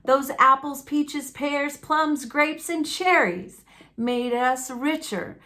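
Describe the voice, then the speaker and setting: Female storyteller for a picture book read-aloud. Bright, forward tone, unhurried, folksy and expressive. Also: high voice. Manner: folksy, dramatic, deliberate delivery.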